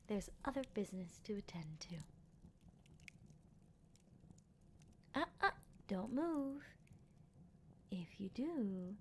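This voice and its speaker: Girl doing a slow breathy vampire lady for asmr roleplay, bossy n teasing, faint music behind. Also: medium pitch, voice pushed down. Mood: menacing, teasing. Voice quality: breathy, often dropping to a whisper. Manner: slow, deliberate, teasing and commanding. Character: predatory female vampire, possessive, coolly menacing. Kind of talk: ASMR roleplay, intimate monologue.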